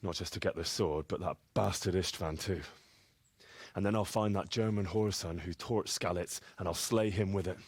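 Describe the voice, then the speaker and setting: A man's voice: low voice, steady tone, moderate pace, breathy edge, dry recording. An actor playing an earnest, wounded young medieval man in a video game, plain-spoken and set on revenge. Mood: vengeful resolve. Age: young adult. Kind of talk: cinematic video game dialogue.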